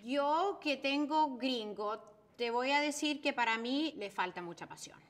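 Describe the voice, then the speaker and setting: Chilean woman co-host, high-ish bright voice, chatty, drops english words. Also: animated, measured pace. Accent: Chilean Spanish. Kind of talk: casual chat show.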